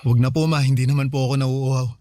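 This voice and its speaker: A man's voice: medium pitch, steady tone, very fast, mellow, slight background noise, lightly acted. A man playing a patient, put-upon young boyfriend and son in a Filipino radio drama, earnest and hesitant. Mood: weary, apologetic. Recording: music behind the voice.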